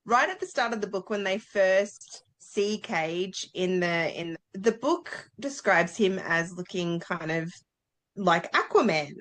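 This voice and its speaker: A woman's voice: mid-range voice, animated, slow pace, fairly bright tone. A woman chatting casually and candidly as a co-host of a book club podcast. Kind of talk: casual chat about books.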